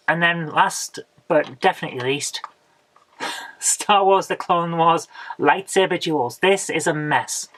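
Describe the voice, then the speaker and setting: A woman, hoarse from a coming cold, hosting a casual retro game haul video. She rambles chattily and often ends with tag questions. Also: sits low, fairly bright tone. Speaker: retro gaming channel host.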